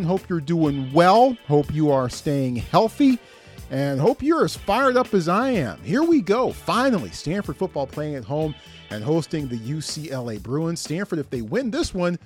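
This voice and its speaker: A middle-aged man presenting a sports podcast with the upbeat, emphatic delivery of a play-by-play announcer. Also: on the high side.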